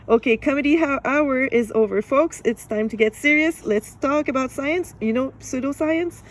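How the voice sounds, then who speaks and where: A woman with a French accent reading aloud from a book and commenting on it, laughing often. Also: high voice. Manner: laughs often, measured and deliberate.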